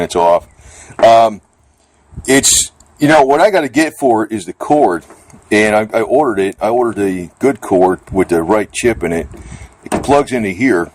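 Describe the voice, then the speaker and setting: Male vlogger, lowish voice, plainspoken and a bit grumbly, rambling through a casual hands-on gear demo. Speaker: vlogger demonstrating radio gear. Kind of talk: casual off-the-cuff product demonstration.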